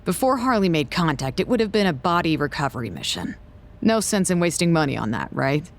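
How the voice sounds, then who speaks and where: A woman acting a guarded, suspicious researcher in an audio drama, measured, dry and skeptical. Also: low-pitched, big pitch swings. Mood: grim, reasoning.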